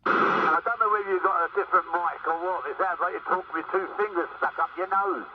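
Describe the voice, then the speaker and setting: A man's voice: high voice, fairly quick. A man bantering casually over CB radio, with a teasing manner. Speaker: CB radio operator. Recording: distant, muffled CB radio with loud static.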